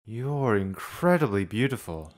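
Casting: male actor playing a cool high-school senior boy, unhurried, blunt and casual, manga dub. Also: big pitch swings, a little hiss or hum. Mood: casual, surprised. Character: blunt, laid-back handsome teenage schoolboy upperclassman. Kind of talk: manga fandub acting.

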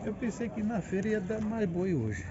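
A man's voice: high-pitched, expressive, normal speed. A man chatting at an outdoor cattle market, agreeing in short replies. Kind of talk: casual market small talk. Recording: lo-fi phone audio with crowd, voice off-mic. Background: crowd chatter.

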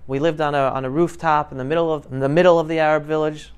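Clear-voiced man giving an emphatic talk. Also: on the high side, flat delivery, quick pace. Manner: emphatic, questioning the audience. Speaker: guest speaker. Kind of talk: informal talk to high school students. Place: classroom, indoors. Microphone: lapel mic.

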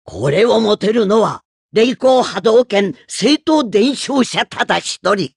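A woman voicing a gruff, stern old female martial arts master in a video game, with a raspy voice and blunt, old-fashioned phrasing. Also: medium pitch, lively intonation, slow pace, no echo, studio quality, exaggerated voice. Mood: stern, scolding. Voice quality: gravelly, raspy. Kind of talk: video game character voice lines.

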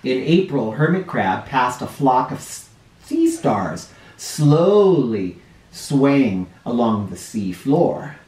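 A man in his forties reading a picture book aloud to children in an expressive, explanatory way. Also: medium pitch, takes it slowly. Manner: animated, explanatory, addressing young listeners. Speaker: children's storytime reader. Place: living room or playroom indoors. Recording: roomy home sound, distant mic.

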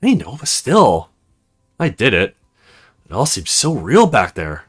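Blunt young female student read by a man, visual novel, emphatic and very animated, faint music behind. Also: mid-range voice, measured pace, breathy edge, heavily acted. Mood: shaken. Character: blunt, moody young woman, academy student.

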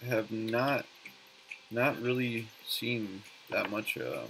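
A man's voice: fairly low pitch, slow, background noise. A young man, a native English speaker, chatting casually on a live gaming stream from his bedroom in a laid-back, friendly way. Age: young adult. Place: small bedroom at home, indoors. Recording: music playing behind the voice. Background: music.

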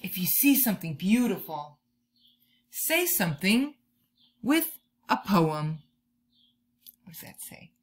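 A woman in her thirties doing an expressive children's read-aloud, drawing out key words like a teacher talking to young listeners. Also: mid-range voice, slow, long pauses. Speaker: teacher reading a picture book to children. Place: bedroom or room at home, indoors.

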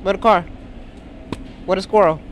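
A woman's voice: mid-range voice, steady tone, normal speed. A woman vlogging casually while shopping, talking with lots of ums and hesitations. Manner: chatty, hesitant with many ums. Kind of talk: casual family vlog chat. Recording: noisy phone recording.

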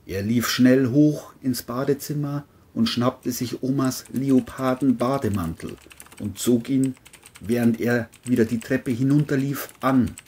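A woman's voice: rumbling low, steady tone, unhurried, darkish tone. A woman narrating a scripted crime story.

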